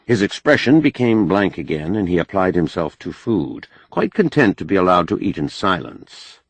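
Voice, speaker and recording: A low-pitched man narrates a novel as an audiobook reader. His delivery is expressive and varied, with a husky edge, recorded close and dry. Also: moderate pace, dry recording. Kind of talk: scripted narration of a novel, with character voices.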